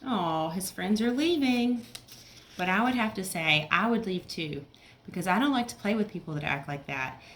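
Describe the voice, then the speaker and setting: A woman's voice: lowish voice, expressive, brisk, fairly bright tone, slight background noise. A woman teacher reading a picture book aloud to young children, with simple explanations and check-in questions.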